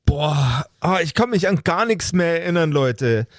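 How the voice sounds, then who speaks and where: Higher-voiced man in casual role-play. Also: lively intonation, measured pace, no echo, clean studio sound. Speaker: player in a pen-and-paper show.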